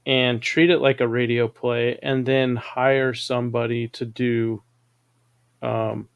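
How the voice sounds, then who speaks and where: A man's voice: medium pitch, no room sound. Male stream host, casual and chatty, reads comments, dry close sound. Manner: chatty, reads viewer comments aloud, laughs often. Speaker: show host. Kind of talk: casual chat on a live stream.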